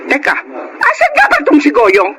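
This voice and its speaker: A woman's voice: high-pitched, wildly swooping pitch, moderate pace, fairly bright tone, exaggerated voice. A Filipino woman playing a weary, bitter middle-aged mother who scolds and laments in a radio drama. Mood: weary scolding. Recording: faint background noise.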